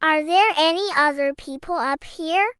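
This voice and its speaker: A man doing a high, eager little girl, full of questions. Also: bright, forceful tone, no echo. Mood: curious. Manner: high and drawn-out, many questions. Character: curious eager young girl.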